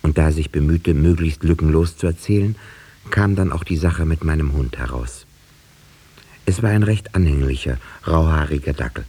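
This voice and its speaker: An actor playing a sober village narrator, telling the story in a measured, reflective way with a dark, husky voice in a 1950s radio play. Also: male voice, extremely low pitch, heavily acted. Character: male village narrator, sober, reflective, fond dog owner.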